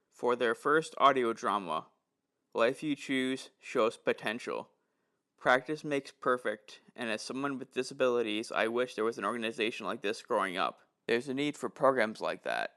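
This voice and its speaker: Man reading a scripted review, medium pitch. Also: fairly bright tone, smooth. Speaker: reviewer and host.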